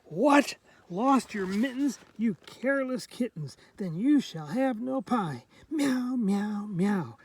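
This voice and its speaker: Storybook mother cat, acted by a man: high voice, strict yet fond, drawn-out exclaiming rhymes. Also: animated, slightly rough, heavily acted. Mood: scolding. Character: scolding mother cat of three kittens, strict but fond.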